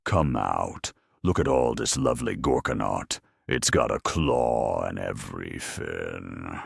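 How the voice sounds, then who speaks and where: A man voicing a huge, gruff, cocky ork warboss with a gravelly voice and rough slang, in an audio drama. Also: a bass voice, lively intonation, slow, no echo, clean studio sound, exaggerated voice. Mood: taunting croon. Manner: rough cockney-style dropped-letter slang.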